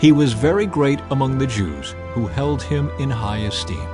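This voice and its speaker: A man's voice: mid-range voice, big pitch swings, moderate pace. A man reading scripture as a scripted, dramatized audiobook narrator. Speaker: Bible narrator. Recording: music behind a dry studio voice.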